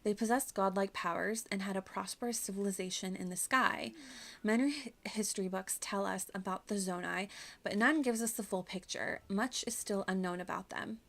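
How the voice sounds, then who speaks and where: A woman's voice: medium pitch, moderate pace, a bit bright. A woman reading video game dialogue aloud as an earnest, studious young princess, in a formal manner.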